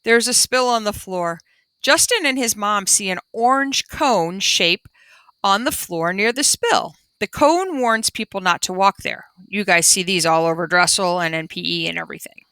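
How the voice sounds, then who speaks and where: Bright-voiced woman teacher reading to young children. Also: medium pitch, animated, normal speed, clear, resonant tone, no room sound. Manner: simple, instructive explanations for young children. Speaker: primary school teacher. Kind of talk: reading a children's book aloud with explanatory asides.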